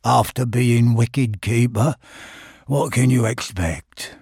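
A man voicing a gloomy old grey donkey in a storybook reading, husky, mournful and deadpan. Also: medium pitch, slow pace, dry recording, studio quality.

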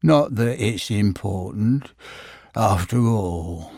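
A sad old donkey speaking in a low, slow, sighing voice, as a man acts him. Every word drags with gloom, like a bedtime storybook. The sound is close and dry. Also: expressive, gravelly, a little mellow, breathy edge, no echo, exaggerated voice. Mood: glum.

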